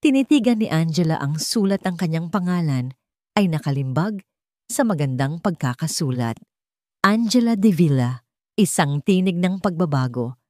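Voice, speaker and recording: A Filipino woman with a fairly low voice narrates a scripted drama. Her delivery is measured and theatrical, like an audiobook of serial fiction. The recording is dry and close. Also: expressive, dark tone, dry recording.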